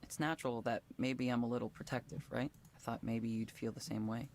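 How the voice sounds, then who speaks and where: A man's voice: medium pitch, fairly even intonation, brisk, a little mellow, a little hiss or hum, close to an everyday voice. An actor playing an earnest, conflicted young man who leads a tech program, hesitant and apologetic, in naturalistic visual novel dialogue. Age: young adult. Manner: earnest, hesitant, self-doubting.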